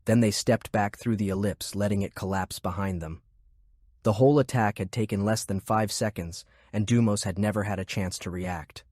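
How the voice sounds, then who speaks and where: A man's voice: lowish voice, moderate pace, darkish tone, no room sound, clean studio sound. A man reading scripted narration of military fantasy fiction as an audiobook narrator.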